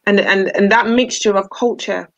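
Measured woman on a muffled video call. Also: medium pitch, fairly even intonation, no room sound. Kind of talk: panel discussion on a video call. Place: room at home. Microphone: laptop webcam on a video call.

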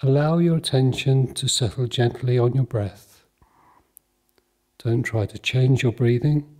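A man leading a guided mindfulness meditation in a calm, measured, soothing manner, leaving long pauses between short phrases. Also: mid-range voice.

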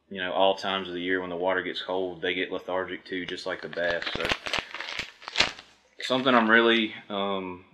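A man's voice: sits low, noisy background. A man in his thirties hosting a fishing video, talking casually and matter-of-factly to camera as he goes through products. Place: indoors at a table before a backdrop.

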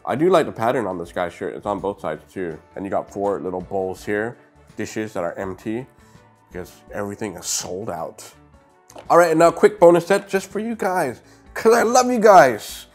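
A middle-aged man with a lowish voice chats through a toy review in an upbeat, direct way, a little sniffly from a stuffy nose. He is recorded on a clip-on mic in a room full of shelves. Faint music plays behind him. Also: expressive, moderate pace. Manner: chatty, upbeat, talks to viewers directly. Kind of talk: casual product review. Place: indoor room lined with shelves of brick sets. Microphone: clip-on lapel mic.

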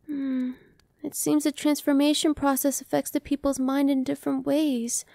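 A young woman voicing a gentle female vampire who speaks softly and slowly, sometimes whispering. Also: sits high, level tone, gravelly, no echo. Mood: soothing. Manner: soft, slow and reassuring, dropping to whispers. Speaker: voice actress. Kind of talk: ASMR roleplay.

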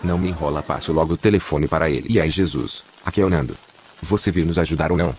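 Casting: man as a cheeky, clueless Brazilian cartoon bomber, low-pitched, rapid, music underneath. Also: noisy background.

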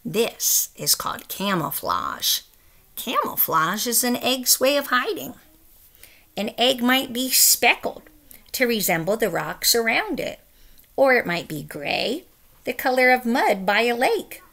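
A woman's voice: on the high side, very animated, a little slow, gravelly, bright, forceful tone. A middle-aged woman reading a children's picture book aloud, with careful, emphatic phrasing for young listeners. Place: small room at home, indoors.

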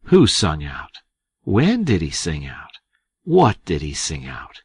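A man voicing a cocky, scheming teenage boy who asks sharp, mock-innocent questions. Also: medium pitch, very animated, a little slow, slightly rough, no echo, voice pushed up, heavily acted. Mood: mock puzzled.

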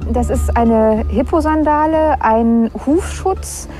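A woman's voice: fairly high pitch, slow pace, warm tone, soft background music. A young woman, an archaeologist, explaining things in an interview. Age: young adult. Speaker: district archaeologist being interviewed.

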